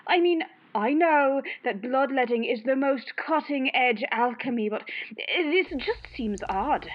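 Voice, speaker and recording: A woman's voice: high-pitched, lively intonation, no room sound. A woman playing a nervous, hesitant young female caller worried about her boyfriend in a comic radio play. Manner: hesitant, halting. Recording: dull lo-fi caller line.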